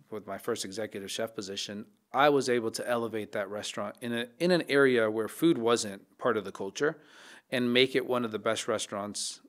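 A man in his thirties, a chef and podcast guest, talking in a reflective, casual way, often restarting his sentences, into a studio mic in a dark podcast studio. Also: on the low side. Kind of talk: casual interview talk. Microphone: studio mic on boom arm.

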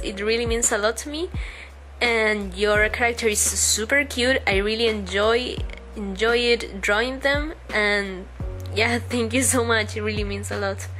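A woman's voice: on the high side, low-quality recording. A young woman from Uruguay with a Spanish accent, a native Spanish speaker, chatting hesitantly over a speedpaint video with many ums and restarts. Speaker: artist answering viewer questions. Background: soft background music.

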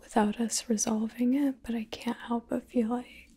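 A young woman whispering softly and intimately in an ASMR roleplay, close to the mic, with deliberate pauses. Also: a little high, level tone. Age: young adult. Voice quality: breathy whisper. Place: small living room, indoors.

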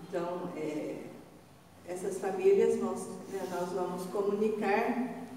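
An older woman from Brazil giving unscripted church announcements from a stand microphone, slow and halting, with many fillers and restarts. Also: medium pitch, warm tone, far from the mic, slight background noise. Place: large church hall indoors, altar behind. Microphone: stand mic a little off. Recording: echoey hall recorded from afar.

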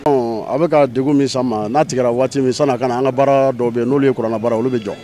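A man in his thirties answering a street interview outdoors, measured and gesturing as he speaks into a handheld mic. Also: medium pitch, fairly even intonation, warm tone, faint music behind. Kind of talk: vox pop answer. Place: outdoors by parked cars. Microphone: handheld mic held by interviewer.

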